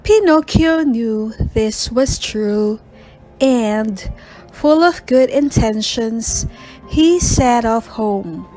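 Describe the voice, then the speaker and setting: A woman's voice: on the high side, animated, very slow, darkish tone, faint music behind, poor audio. A woman storyteller reading a scripted bedtime story for children.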